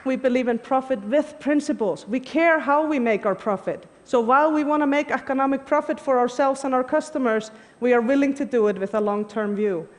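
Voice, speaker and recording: A woman's voice: high-pitched, brisk, hardly any pauses. A woman, giving an earnest, emphatic prepared talk on stage. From Iceland. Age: 40s. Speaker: conference speaker. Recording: long hall reverb.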